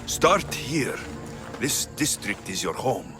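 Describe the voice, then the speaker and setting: An actor playing a young, steady, dry-witted mercenary hero in a video game. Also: male voice, mid-range voice, takes it slowly. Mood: stern. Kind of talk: video game dialogue acting. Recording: music under the voice.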